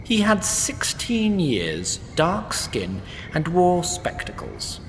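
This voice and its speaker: A man narrating a fantasy comedy in a wry, formal storytelling manner, his delivery animated and lively. The recording carries a long reverb tail and a faint low rumble underneath. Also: slow. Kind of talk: scripted narration.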